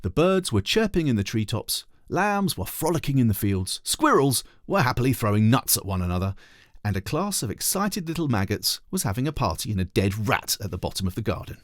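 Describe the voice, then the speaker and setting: A man, a teacher, reading a children's storybook aloud in an animated narrating style. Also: mid-range voice, brisk, dry recording, clean studio sound.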